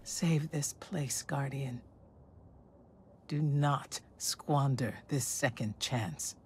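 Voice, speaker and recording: Slow, grave warrior scholar. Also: female voice, very deep voice, a little hiss or hum, full character voice. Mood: solemn plea. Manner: solemn, measured. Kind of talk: cinematic game acting.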